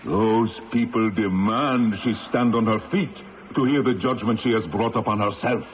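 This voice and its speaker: Actor as stern judge on tinny old radio. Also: male voice, lowish voice, moderate pace, lightly acted. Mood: accusing. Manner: formal, declamatory, unyielding. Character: stern, accusing male tribunal judge of revolutionary France. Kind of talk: old-time radio drama acting. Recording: noisy, very narrow-band old radio.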